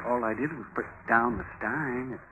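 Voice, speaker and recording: An actor playing a meek, bumbling, easily flustered German husband in old-time radio comedy-drama, hesitant and apologetic. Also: male voice, medium pitch, normal speed, close to an everyday voice. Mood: sheepish. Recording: muffled, noisy old radio recording.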